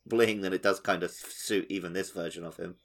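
A man's voice: low voice, steady tone, brisk, a bit bright. A man co-hosting a podcast, casually talking through a video game and often checking for agreement. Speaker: podcast co-host.

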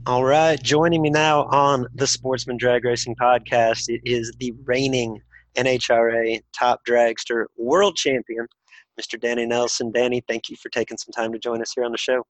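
A man's voice: mid-range voice, level tone, moderate pace, dry recording, poor audio. A man hosting a drag racing podcast, talking casually with many fillers while getting over a cold that has nearly taken his voice. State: recovering from a cold, near losing voice. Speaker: podcast host. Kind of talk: podcast interview.

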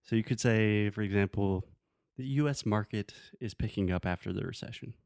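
A man co-hosting a podcast, teaching English phrases in a relaxed, teasing way, with patient examples. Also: fairly low pitch, a little fast, vocal fry, no echo, studio quality. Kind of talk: casual teaching chat.

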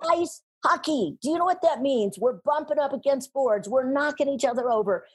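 A middle-aged woman talking about sales and speaking in an interview, animated and emphatic, laughing often. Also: high-pitched, normal speed. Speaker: guest, sales trainer and speaker. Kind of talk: conversational interview.

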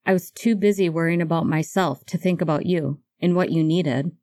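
A woman's voice: fairly low pitch, moderate pace, a little mellow, no room sound, studio quality, close to an everyday voice. A woman voicing an anxious, tender, apologetic man in love, speaking in an earnest, emphatic way for a fan fiction audiobook. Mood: apologetic, rushed.

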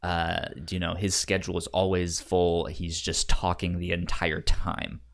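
Low-pitched man with a halting stutter. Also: monotone, gravelly. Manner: halting, stuttering repetitions of sounds and words. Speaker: podcast host. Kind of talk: casual movie discussion.

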